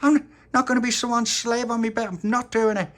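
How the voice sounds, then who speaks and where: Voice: mid-range voice, fairly even intonation, brisk, fairly bright tone, pitched above the performer's own voice, lightly acted. A storyteller voicing a small, plaintive, easily wounded birthday boy. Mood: indignant whining.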